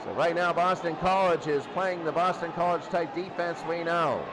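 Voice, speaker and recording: A man's voice: a little high, steady tone, normal speed. A man doing live play-by-play football commentary as a radio announcer, restating the action in short bursts. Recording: very noisy, loud stadium crowd bed. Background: crowd roar.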